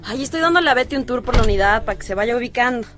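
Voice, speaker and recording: A woman's voice: on the high side, animated, racing, fairly bright tone, faint music behind. An actress playing a chatty, gossipy teenage girl with a Mexican accent, confiding colloquially in a radio soap. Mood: eager. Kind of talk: radio soap opera acting.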